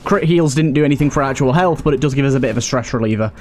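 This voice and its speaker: A man's voice: mid-range voice, very fast, darkish tone. A British man giving casual let's play commentary, thinking aloud and addressing the characters on screen as he goes.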